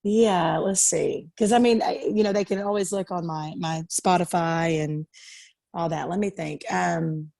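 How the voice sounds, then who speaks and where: Woman from Arkansas, country singer guest, tired raspy voice, lowish pitch, dry close sound, chatty with fillers. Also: fairly even intonation, a little slow, a little mellow, no room sound. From Arkansas, USA. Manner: chatty, laughs easily, many fillers. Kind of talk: casual interview chat. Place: sitting in bed at home.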